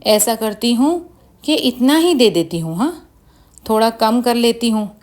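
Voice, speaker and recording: Stingy village didi , female narrator's character, falsely kind, calculating, unhurried bedtime-story acting. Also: fairly high pitch, darkish tone, lightly acted. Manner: calculating, condescending. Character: stingy young village woman feigning kindness.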